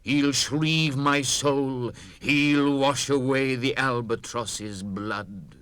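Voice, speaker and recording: An actor with a British accent playing a haunted old mariner, reciting verse with measured intensity. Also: male voice, mid-range voice, fairly even intonation, clean tone, no room sound. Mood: impassioned relief. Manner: intense, measured, swinging from hushed to impassioned. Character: haunted old mariner, fearful and awestruck, recounting his voyage. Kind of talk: dramatic poetry recitation, 1960s spoken-word record.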